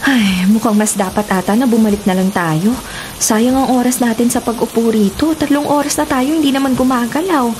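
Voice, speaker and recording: A woman's voice: a little high, fairly quick, darkish tone, a little hiss or hum, close to an everyday voice. A woman playing a determined, wry travel vlogger in her late twenties in a radio drama, with a Filipino accent. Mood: resigned, practical.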